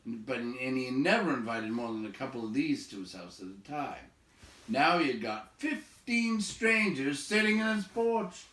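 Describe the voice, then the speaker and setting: A man narrates a story aloud with bright, lively expression. He takes his time. The recording is echoey, with him set back from the microphone. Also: mid-range voice, unhurried. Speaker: story reader. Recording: roomy echo, distant from mic.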